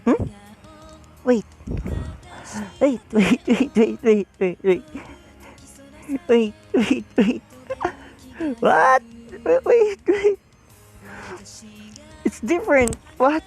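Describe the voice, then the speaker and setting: A woman's voice: on the high side, lively intonation, drawn-out words, long pauses, a little mellow, husky, soft background music. A young woman giving casual gameplay commentary, repeating her words and reacting aloud to what she sees. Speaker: visual novel streamer.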